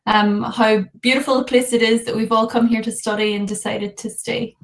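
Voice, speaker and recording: A woman's voice: a little high, fairly even intonation, moderate pace. A woman from Ireland who heads university recruitment, introducing herself conversationally on a video call. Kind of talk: brief self-introduction on a panel.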